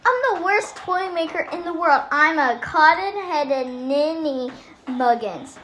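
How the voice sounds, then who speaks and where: A storyteller voicing an earnest, excitable grown man raised by elves, childlike and emphatic, in a storybook read-aloud. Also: sits high, takes it slowly, voice pushed up, heavily acted. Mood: dejected. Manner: exclaiming, wide-eyed, emphatic. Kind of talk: acted storybook character dialogue.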